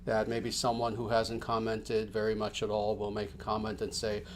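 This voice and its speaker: Gentle rambling spiritual teacher, a man. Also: fairly low pitch, monotone, normal speed, a little hiss or hum. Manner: gentle, rambling, with many fillers and restarts. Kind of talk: livestream announcements to followers.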